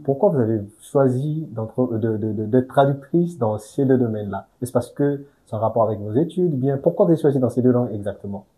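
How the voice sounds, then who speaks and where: Host: man, rapid polite questioning, warm dark tone. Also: medium pitch, low-quality recording. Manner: polite and formal, frequent murmured acknowledgements. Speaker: interview host. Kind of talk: formal interview questions.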